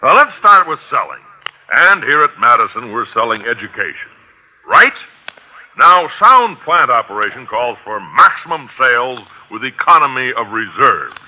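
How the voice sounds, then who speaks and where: A self-important American businessman. His voice is piercingly bright and animated, with breezy, pompous patter in old radio sitcom style. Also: medium pitch, moderate pace, exaggerated voice, poor audio. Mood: self-satisfied. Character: self-important, breezy businessman turned overgrown high-school student. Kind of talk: 1950s radio comedy acting.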